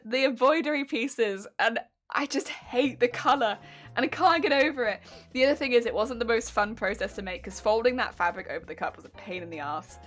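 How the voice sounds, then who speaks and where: A woman's voice: high-pitched, fairly bright tone. Chatty English woman streamer. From England. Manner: chatty, self-critical, answers chat questions, frequent fillers and laughs. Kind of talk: casual live-stream chat while sewing.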